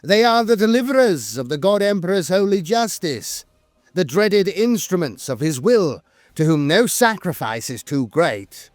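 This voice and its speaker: A man's voice: high voice, very animated, unhurried, no echo, studio quality. A man narrating scripted lore in a solemn, formal and dramatic way.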